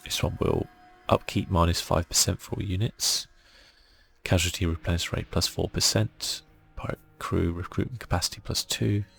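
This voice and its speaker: A man hosting a relaxed ASMR video game playthrough, speaking softly and musing aloud as he goes. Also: deep voice, normal speed, creaky, soft background music. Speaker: gaming channel host.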